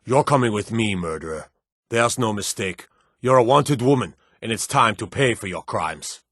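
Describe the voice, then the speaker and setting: Gruff, low-voiced fantasy city guard. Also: male voice, animated, normal speed, a bit bright, no echo, studio quality. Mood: accusing, menacing. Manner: gruff, authoritative, clipped threats. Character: stern, menacing fantasy city guard, weary and corruptible. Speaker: video game guard character. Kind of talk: scripted fantasy game voice lines.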